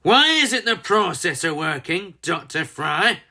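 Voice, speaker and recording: A storybook narrator voicing a villainous woman boss, imperious, impatient and menacing, with drawn-out snarls. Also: mid-range voice, big pitch swings, bright, forceful tone, pitched above the performer's own voice, full character voice.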